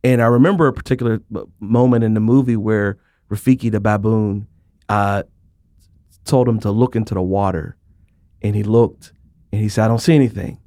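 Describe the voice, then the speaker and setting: Male interviewer, low-pitched, dry close mic; encouraging and affirming. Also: fairly even intonation, a little fast, dark tone, no room sound. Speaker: podcast host. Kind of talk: conversational interview.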